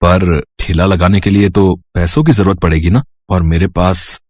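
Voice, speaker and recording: Man playing a humble, low-voiced poor villager. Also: fairly even intonation, normal speed, a little mellow, dry recording. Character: poor, humble village husband, worried but reassuring. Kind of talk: animated storybook cartoon dialogue.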